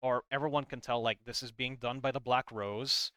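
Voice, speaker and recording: A man's voice: mid-range voice, steady tone, normal speed, sharp tone, clean tone. A man giving a casual, rambling explainer commentary on game lore, as a video creator talking through what he shows. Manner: rambling, hedging, reads comic text aloud between comments.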